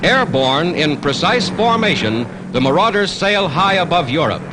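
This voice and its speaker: High-pitched, animated 1940s American newsreel man. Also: moderate pace, noisy background. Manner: declamatory, formal announcer delivery. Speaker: newsreel narrator. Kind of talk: 1940s newsreel narration, scripted. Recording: vintage 1940s newsreel soundtrack. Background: orchestral music.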